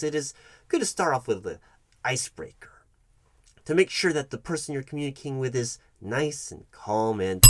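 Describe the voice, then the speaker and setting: A man's voice: mid-range voice, dry recording. Man playing a pompous, swooping-voiced job interviewer. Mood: officious, explaining. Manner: pompous, fussy, stammering and self-correcting. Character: pompous, bumbling male job interviewer, condescending and muddled. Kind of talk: comedic ASMR roleplay addressed to the listener.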